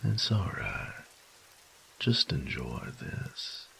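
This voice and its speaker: An extremely deep-voiced, flirtatious male lover, acted by a man speaks in an intimate ASMR roleplay. His delivery is slow and teasing, with a heavy gravelly fry and a folksy, doting manner. It turns breathy and whispery in places. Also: big pitch swings, bright, forceful tone, a little hiss or hum, exaggerated voice, rough recording. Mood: teasing, tender.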